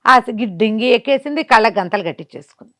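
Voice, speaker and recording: A woman telling a folk tale in an animated, conversational way, putting rhetorical questions to herself as she goes. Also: fairly high pitch, quick pace. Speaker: storyteller. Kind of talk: animated oral folk storytelling.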